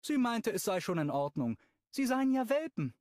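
Man putting on a high, fretful young woman. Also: very animated, normal speed, a little mellow, no room sound, studio quality. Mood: worried. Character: bubbly young woman, worried dog lover.

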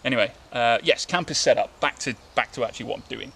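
A man's voice: mid-range voice, fast, vocal fry, fairly bright tone, slightly rough. A man, talking casually to camera while sitting at his woodland camp, rambling with lots of fillers and restarts. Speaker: bushcraft vlogger. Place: outdoors in winter woodland, seated under a tarp. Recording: light outdoor background noise.

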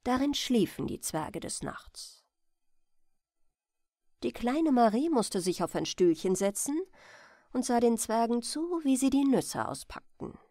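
A woman's voice: on the high side, sing-song, a little slow, warm tone, no room sound, clean studio sound. A woman reading a bedtime fairy tale as a scripted narration.

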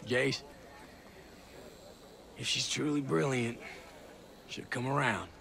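A man playing a tough, confident American special forces soldier in a video game, talking casually with measured military pauses. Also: mid-range voice, fairly even intonation, vocal fry, fairly bright tone. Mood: cool, persuasive. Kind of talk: video game cutscene acting.

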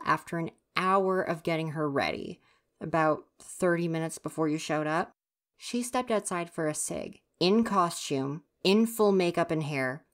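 A young woman acts out a theater stagehand in an intimate ASMR roleplay, pitched low. The character is grumpy and sarcastic, teasing and gossipy, yet quietly caring. The delivery stays casual and conversational, as if talking to one listener. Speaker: roleplay voice performer.

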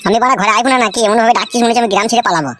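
A woman's voice: on the high side, racing, fairly bright tone, a little hiss or hum, natural voice. A woman voicing a crude, quarrelsome village husband in a comic cartoon, scolding and swearing. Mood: irritated.

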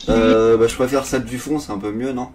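A man's voice: medium pitch, steady tone, brisk, darkish tone, faint music behind. A young man in casual, improvised game role-play, talking in a cheeky, slangy way on a gaming microphone. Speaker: gamer role-playing as an arrested suspect. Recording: noisy gaming voice chat.

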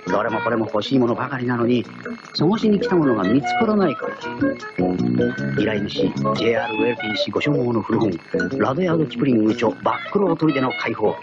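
Male actor as proud thief, wry, theatrical radio drama storytelling, lively swooping pitch, lo-fi sound. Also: fast talker, lightly acted. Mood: sly, composed. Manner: theatrical, self-assured storytelling. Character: cocky gentleman thief, proud self-styled genius, wry. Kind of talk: first-person radio drama narration. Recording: dull lo-fi archive radio recording, loud music.

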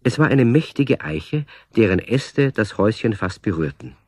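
A man's voice: lowish voice, very animated, normal speed, a little mellow, husky, no room sound, studio quality. A man reading scripted storybook narration as the narrator of a radio play.

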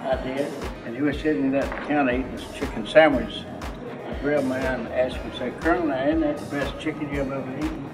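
An older man telling stories calmly and without hurry in a casual interview. His voice is mid-pitched and is picked up from a distance in an echoey room, with music underneath. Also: fast.

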